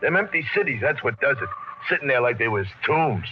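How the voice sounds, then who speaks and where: An actor playing a jovial, aging spaceship cook in a 1950s radio drama, folksy, chummy and rough-spoken. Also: male voice, medium pitch, lively intonation, brisk, close to an everyday voice. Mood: uneasy, musing. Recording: noisy, muffled old radio broadcast.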